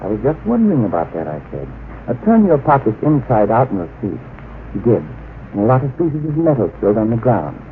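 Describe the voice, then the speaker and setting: Dreamy, vain young secretary, swooping voice. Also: male voice, medium pitch, brisk. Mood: pleased, smug. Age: young adult. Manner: airy, self-satisfied, excitable. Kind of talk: old-time radio drama acting. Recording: tinny, hissy old radio recording.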